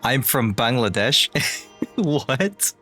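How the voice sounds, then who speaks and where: Man streaming a game, playful, giggly, thinks aloud, slow easy pace, soft game music behind. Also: medium pitch, sing-song. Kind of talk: casual gameplay chat.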